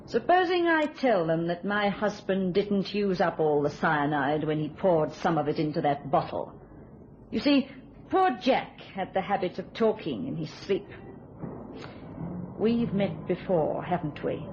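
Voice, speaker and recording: Steely British widow, lo-fi sound. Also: female voice, on the low side, animated, unhurried, darkish tone, a little hiss or hum. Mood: cold, threatening. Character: bitter, steely, vengeful widow. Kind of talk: radio thriller acting. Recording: dull lo-fi radio sound.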